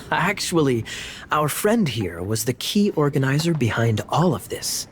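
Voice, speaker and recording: A man playing a genial, confident knight in a video game, talking in a smooth, theatrical, reassuring way. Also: medium pitch, big pitch swings, a little slow, slight background noise. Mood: playful.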